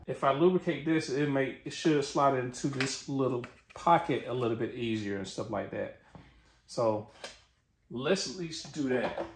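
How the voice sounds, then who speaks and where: A middle-aged American man narrating a how-to in an informal way, thinking aloud as he works. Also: medium pitch. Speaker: DIY tutorial host. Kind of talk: casual how-to narration while working. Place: garage workshop, indoors. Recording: echoey garage with noise and clicks.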